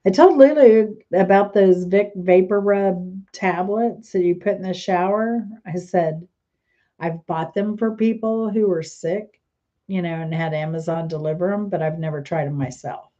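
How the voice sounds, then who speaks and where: A woman's voice: on the low side, unhurried, dark tone, lo-fi sound. A woman host chatting casually and rambling, trailing off mid-sentence, sounding stuffy and congested. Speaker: channel host. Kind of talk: casual rambling chat to camera.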